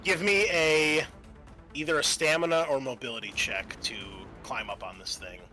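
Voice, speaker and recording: A man narrating a tabletop horror game as game master, improvising in a halting, casual way with some swearing. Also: fairly high pitch, pushed and bright, soft background music.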